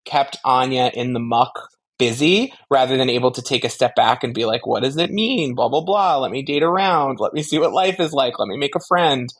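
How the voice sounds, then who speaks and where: A man's voice: medium pitch, animated, a little fast, few pauses. A man co-hosting a casual fan podcast, speaking in an opinionated, analytical way with casual swearing. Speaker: podcast co-host discussing a TV franchise.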